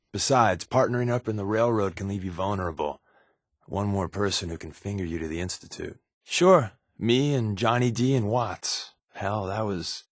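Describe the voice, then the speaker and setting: Easygoing undercover agent, video game dialogue, American accent, raspy lowish voice, sardonic, conversational delivery. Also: male voice, dry recording, studio quality, exaggerated voice. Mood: matter-of-fact, serious. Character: wry, easygoing male undercover spy of a resistance group. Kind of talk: video game character voice lines.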